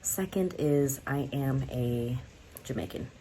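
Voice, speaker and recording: A woman's voice: rumbling low, slow pace, dark tone, slight background noise, low-quality recording. A young woman from the United States talking candidly to her viewers in a casual vlog, rambling and often laughing. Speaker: vlogger. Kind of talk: casual talk to camera.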